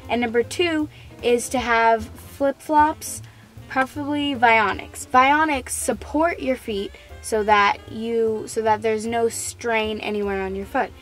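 A woman's voice: fairly high pitch, slow pace, faint music behind. A young woman co-hosting a casual snack review in a car, earnest and a little hesitant. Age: young adult. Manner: earnest, a bit hesitant, restarts. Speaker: co-host. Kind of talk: casual chat, product review. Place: parked car. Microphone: camera mounted in car.

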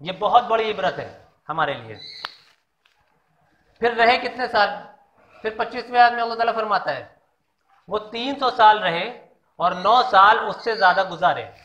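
A man's voice: high-pitched, lively intonation, measured pace, pauses often, sharp tone. A man giving an informal religious talk, explaining a Quran story and asking rhetorical questions. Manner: explanatory, repeats key lessons, asks rhetorical questions. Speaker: Islamic preacher. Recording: echoey, dull lo-fi room sound.

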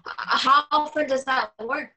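A wry, wary young woman on an online date , played by a woman at a table read. Her voice is fairly high and bright, delivering film dialogue over a video call. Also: steady tone, slow pace, heavily acted. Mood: skeptical, wry. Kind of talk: actor reading a script at a table read.

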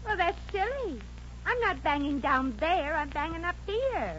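A woman's voice: extremely high pitch, sing-song, unhurried, fairly bright tone, heavily acted. An actress playing a chatty, pesky, mock-innocent working-class American woman in a 1950s radio comedy, with slangy talk. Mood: indignant. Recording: muffled, noisy old radio recording.

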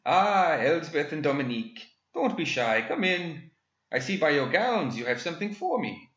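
Guy putting on a smug mechanical villain inventor, high and sing-song, echoey dull recording. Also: very animated, moderate pace, a bit bright. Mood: delighted. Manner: pleasant, mocking, theatrical.

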